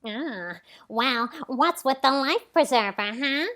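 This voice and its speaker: Gruff 1950s counterman played by a woman, impatient, muttering, pitched down, unhurried comic read. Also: lively intonation, fairly bright tone, full character voice. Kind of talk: comedic impression at a table read.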